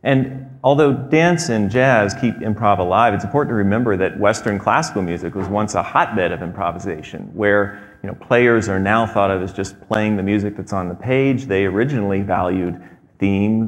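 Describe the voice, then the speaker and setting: A man in his thirties giving a prepared talk on a theater stage, measured and explanatory, with frequent fillers. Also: lowish voice. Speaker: presenter. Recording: long hall reverb.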